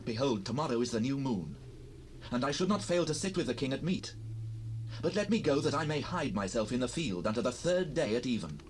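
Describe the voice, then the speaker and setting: A man acts out an anxious young fugitive in a biblical audio drama, pleading with a friend. His solemn, archaic speech swings widely in pitch, over a faintly noisy cassette with ambience behind. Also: big pitch swings, a little fast, close to an everyday voice. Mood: earnest pleading.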